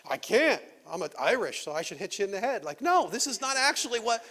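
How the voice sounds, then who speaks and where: High-voiced man preaching conversationally. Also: very animated, a little fast. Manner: conversational preaching with rhetorical questions, dropping to quiet emphasis. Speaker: pastor. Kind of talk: sermon. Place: church sanctuary.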